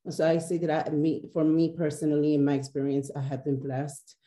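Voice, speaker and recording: A woman with a low, very dark and mellow voice speaks earnestly on a webcam panel. She talks haltingly, pausing often. She is in a small office with a roomy echo. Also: flat delivery. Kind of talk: panel discussion on a video call. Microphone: video call webcam.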